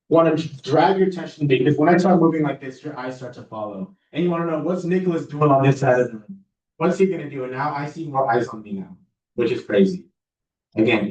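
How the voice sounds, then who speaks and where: A young man talks to a student club about public speaking, relaxed and confident, pitching his voice lower for authority. He is picked up from across a reverberant meeting room, and the sound is a bit dull. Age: young adult. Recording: roomy, distant mic, dull sound.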